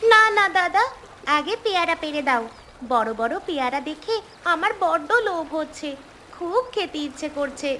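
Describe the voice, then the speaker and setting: A woman's voice: high-pitched, expressive, moderate pace, bright, forceful tone, a little hiss or hum. A woman voicing a little girl in a cartoon, a doting younger sister with a childlike, insistent, melodramatic way of pleading. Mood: coaxing.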